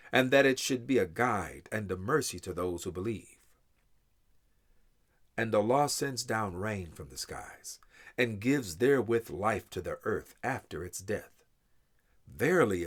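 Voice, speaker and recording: A man giving a solemn, measured, reverent reading of scripture in English translation. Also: fairly low pitch. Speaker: scripture reader.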